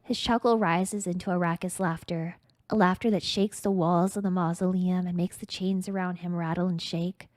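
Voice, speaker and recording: A woman's voice: lowish voice, fairly even intonation, normal speed, a little mellow, no echo. A woman streamer reading a story aloud in a theatrical, mock-grandiose, dramatic narrating style.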